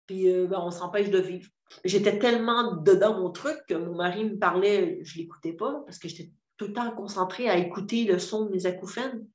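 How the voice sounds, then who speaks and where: A woman in her fifties with a Québécois accent, giving a candid, earnest testimony with many hesitations and restarts. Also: mid-range voice. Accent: Québécois French. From Quebec. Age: 50s. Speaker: program client giving a testimonial. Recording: roomy echo, dull lo-fi sound.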